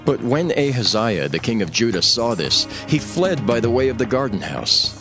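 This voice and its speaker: A man narrating a dramatized scripture reading in a solemn, measured storytelling manner. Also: mid-range voice, very animated, over music.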